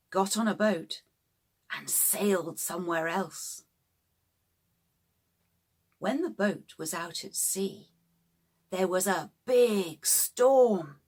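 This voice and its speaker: Unhurried, husky woman reading bedtime stories. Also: medium pitch. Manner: simple, deliberate phrasing for young children. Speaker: storybook narrator. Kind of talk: scripted children's bedtime story narration.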